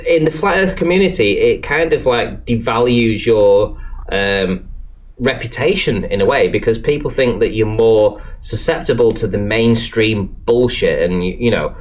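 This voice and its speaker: A man's voice: on the low side, normal speed. A British man in casual, rambling online discussion, speaking earnestly and hesitantly with some swearing. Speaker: podcast panellist. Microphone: online group call microphone.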